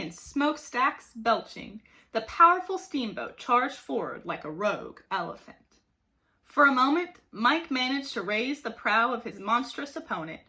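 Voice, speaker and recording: A woman reading a children's story aloud like a teacher, with expressive, dramatic emphasis. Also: fairly high pitch. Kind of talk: animated storybook narration for children.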